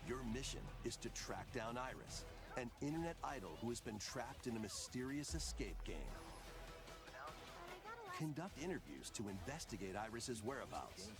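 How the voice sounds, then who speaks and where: A man's voice: medium pitch, expressive, normal speed, pauses often. A man delivering scripted promotional narration for game trailers in a polished announcer style. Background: trailer music.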